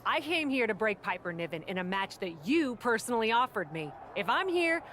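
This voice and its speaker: A woman's voice: on the high side, very animated, a little fast, fairly bright tone, a little hiss or hum. Tough no-nonsense lady wrestler, blunt and threatening. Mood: menacing. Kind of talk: video game cutscene acting.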